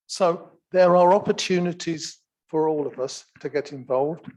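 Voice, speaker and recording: Soft-spoken man, slow and unhurried. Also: a little high, poor audio. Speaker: assembly action group member speaking at a community meeting. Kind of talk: informal public talk. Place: theatre function room, live event.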